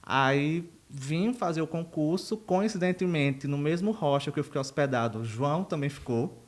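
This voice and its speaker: A man's voice: fairly high pitch, moderate pace. A man in his thirties, a university professor, chatting in a casual interview and wandering off into side stories. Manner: chatty and digressive, laughs at himself. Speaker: university professor, podcast guest.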